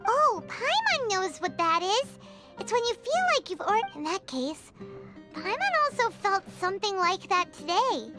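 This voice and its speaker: A woman voicing a small, excitable, chatty fairy companion in a video game, exclaiming like a child. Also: very high voice, measured pace, over music, exaggerated voice. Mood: thoughtful, curious. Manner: refers to self in third person, exclaiming. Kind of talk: English video game voice acting.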